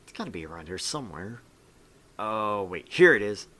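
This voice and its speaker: A man's voice: fairly low pitch, very animated, normal speed, sharp tone, a little hiss or hum, voice pushed down. Man playing a grumbling, bewildered young student. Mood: exasperated. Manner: muttering, exasperated.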